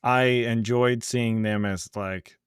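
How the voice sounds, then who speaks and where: A man's voice: mid-range voice, steady tone, slow pace, clean tone, dry recording, clean studio sound. A man co-hosting a casual podcast chat, laid-back, with wry asides. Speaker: podcast co-host.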